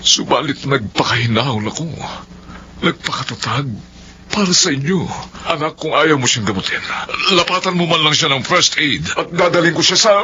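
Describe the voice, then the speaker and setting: Animated, pleading Filipino father. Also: on the high side, normal speed. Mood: hurt, insistent. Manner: earnest, imploring, dramatic. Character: forbearing, pleading middle-aged father, a betrayed husband. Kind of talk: Filipino radio drama acting. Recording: dull lo-fi radio sound, faint noise.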